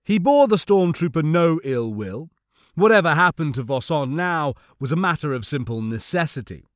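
Guy reading an audiobook, deliberate dramatic storytelling, voice a bit high, really dry recording. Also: expressive, dry recording. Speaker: audiobook narrator. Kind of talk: scripted fiction narration.

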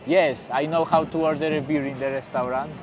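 A man's voice: a little high, fairly even intonation, a little fast, over music. A man in his thirties from Poland, chatting cheerfully in a street interview and chuckling between phrases. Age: 30s. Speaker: interviewed pilgrim. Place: outdoor city square. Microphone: lapel mic.

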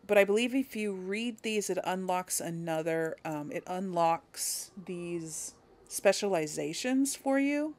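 A woman's voice: lowish voice, lively intonation, unhurried, a little mellow. A woman hosting a gaming video, giving casual, chatty and rambling let's play commentary.

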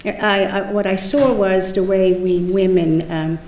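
Halting older New woman in an echoey hall. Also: mid-range voice, fairly even intonation, a little mellow, a little hiss or hum. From South Bronx, New York. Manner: halting, searching for words. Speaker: panelist. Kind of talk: panel talk. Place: large lecture hall at a panel table. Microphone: table mic on a stand. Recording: echoey hall, mic from far off.

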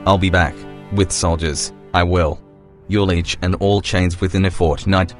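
A man voicing a stern, threatening pirate slaver in a motion comic, in a gruff, menacing manner. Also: low-pitched, level tone, unhurried. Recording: background music under the voice.

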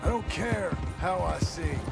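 Gruff american cowboy, grunty n terse, raspy fry but kinda higher pitched, game western. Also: male voice, a little slow, loud music behind the voice. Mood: irritated. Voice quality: gravelly. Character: gruff, taciturn cowboy trying to go straight. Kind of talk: video game western acting.

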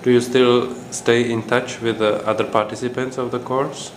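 A man interviewing a guest, asking plain, measured questions. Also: medium pitch, level tone. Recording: roomy sound with background hum.

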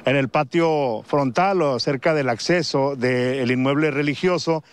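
A man's voice: medium pitch, unhurried, clean tone. A middle-aged man from Mexico, reporting live from a street, speaking off the cuff with fillers and restarts. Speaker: field reporter. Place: outdoors on a residential street. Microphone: handheld mic.

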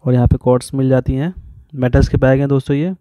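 Man in his thirties, from India, casual camping how-to, flat even delivery, mellow voice, outdoor field noise. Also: medium pitch, fast, dry recording. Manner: chatty, repeats "so doston", shows each step. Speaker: camping vlogger. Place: open hillside meadow outdoors.

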